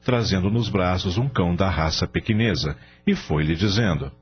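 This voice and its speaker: A man narrating a scripted radio drama as an expressive storyteller. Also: sits low, normal speed, a little hiss or hum. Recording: dull lo-fi audio, faint music.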